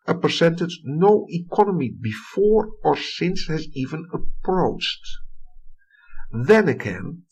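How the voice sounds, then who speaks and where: A middle-aged man from the Netherlands with a Dutch accent, giving a recorded history lecture and reading a prepared text with deliberate emphasis. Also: fairly high pitch, darkish tone, slightly rough, poor audio.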